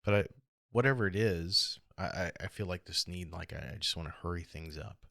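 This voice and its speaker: A middle-aged American man with a hoarse, gravelly voice, talking alone in a reflective, halting and rambling way as a casual podcast host. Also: deep voice, bright, forceful tone, no room sound, clean studio sound. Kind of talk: casual solo podcast monologue.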